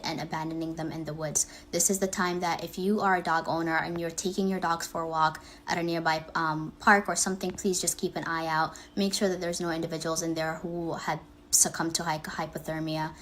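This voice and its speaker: Earnest woman with a flat, low voice. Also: fairly quick, hardly any pauses. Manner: earnest, pleading. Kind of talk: spoken public appeal. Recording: clip with faint background noise.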